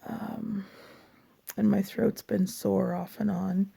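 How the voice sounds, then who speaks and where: Hoarse, raspy, tired woman. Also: medium pitch, flat delivery, mellow. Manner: slow, halting and tired, with many pauses and fillers. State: hoarse from a lasting cough. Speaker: vlogger. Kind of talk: personal health update.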